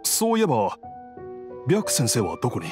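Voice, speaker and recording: A sickly humble anime game dad, hesitant, really varied pitch, music behind. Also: male voice, wildly swooping pitch, moderate pace, darkish tone. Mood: relieved, puzzled. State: weakened, recovering from illness. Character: grateful, humble ailing father of a grown-up household.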